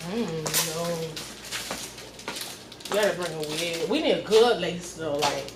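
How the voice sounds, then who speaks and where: A woman's voice: deep voice, measured pace. A woman in her late twenties, a hairstylist, chatting bluntly and casually in slang at the salon. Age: late 20s. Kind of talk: casual chat. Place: hair salon. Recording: echoey salon with background noise.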